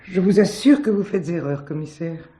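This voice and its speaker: A woman's voice: lowish voice, normal speed, mellow, lightly acted. A woman playing a coolly self-possessed suspect in a stage mystery, indignant and defiant in short, clipped denials. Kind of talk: French stage mystery acting.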